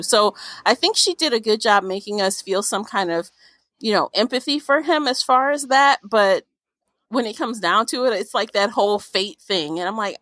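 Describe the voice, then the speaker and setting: A woman's voice: medium pitch, fairly bright tone, no echo. A woman discussing a book casually as a podcast co-host, blunt and opinionated, with informal language.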